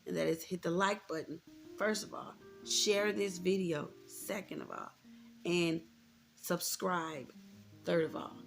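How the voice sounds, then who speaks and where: A woman's voice: on the low side, a little slow, creaky, faint music behind. An American woman in her thirties talking candidly and informally to her viewers, reflective and repeating points for emphasis. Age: 30s. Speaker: vlogger. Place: indoors, room with a closet.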